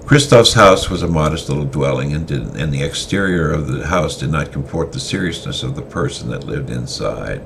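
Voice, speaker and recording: Very deep, gravelly male storyteller. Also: noisy background. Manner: halting, with fillers and restarts. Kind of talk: semi-dramatic story reading.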